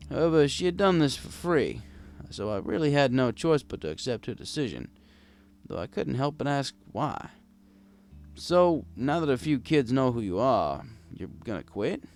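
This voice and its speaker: A man's voice: mid-range voice, very animated, moderate pace, darkish tone, faint music behind. A man narrating a scripted first-person story as a world-weary, kindly old bartender. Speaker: audiobook narrator.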